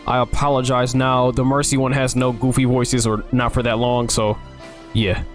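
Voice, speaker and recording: A man's voice: mid-range voice, flat delivery, normal speed, soft background music. A man casually playing through a dating game on his channel, joking and swearing as he reads. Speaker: gaming video creator.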